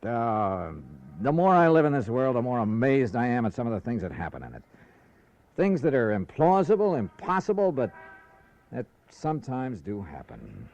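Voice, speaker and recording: A man's voice: mid-range voice, huge pitch swings, normal speed, darkish tone. An American man playing a tough, wry insurance investigator in 1950s radio drama, with clipped, slangy delivery. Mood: firm, reflective. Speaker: lead actor and narrator. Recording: dull vintage radio recording with music.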